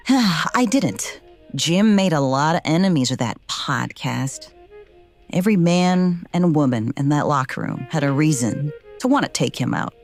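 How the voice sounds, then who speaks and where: Low-voiced, swooping, taunting lady crime boss. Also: lively intonation, a little slow, a little mellow, faint music behind. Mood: defensive, menacing. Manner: taunting, theatrical, hums while eating. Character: smirking, cruel wrestling boss woman with a mocking temper. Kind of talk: noir audio drama acting.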